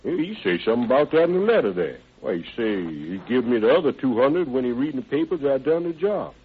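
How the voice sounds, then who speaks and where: A man's voice: medium pitch, very animated, quick pace, husky. An actor playing an evasive, deferential working man with folksy, ungrammatical speech and polite yes-sirs, in an old radio drama style. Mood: earnest, explaining. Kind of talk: 1940s-50s radio drama acting. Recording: tinny band-limited old radio recording.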